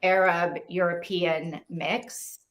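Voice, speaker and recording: A woman's voice: on the low side, little pitch movement, takes it slowly. Chatty woman, casual livestream. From dad Saudi, mom German. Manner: chatty, lots of "like" and "right?". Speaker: book club co-host.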